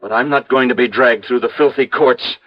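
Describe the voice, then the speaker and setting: An actor playing a bitter, defiant killer confessing in a 1950s radio drama. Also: male voice, mid-range voice, steady tone, brisk, close to an everyday voice. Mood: bitter, desperate. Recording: muffled vintage radio recording.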